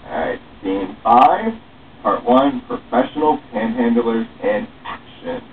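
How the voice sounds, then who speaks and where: A young man giving an animated amateur dramatic reading of his own story, with dialogue, in a small room. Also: mid-range voice, slow. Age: young adult. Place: small cluttered room indoors. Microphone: distant camera microphone. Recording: muffled phone-like webcam, distant mic, faint hiss.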